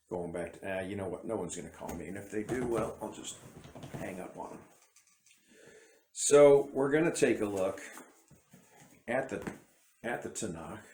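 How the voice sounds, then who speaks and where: A man's voice: fairly low pitch, a little slow, long pauses, mellow, roomy sound, a little hiss or hum. A man giving a casual, rambling bible commentary to camera, often breaking off and restarting his sentences. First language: English. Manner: rambling, self-interrupting, conversational. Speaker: independent bible teacher.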